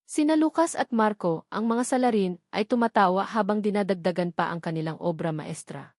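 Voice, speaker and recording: A woman's voice: mid-range voice, darkish tone, dry recording, studio quality. A woman reading a scripted romantic drama story as narrator.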